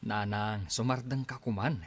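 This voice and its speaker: A man playing an earnest young schoolteacher, an embarrassed son who pleads for calm, in a radio drama. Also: lowish voice, moderate pace, no echo. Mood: dismayed. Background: music.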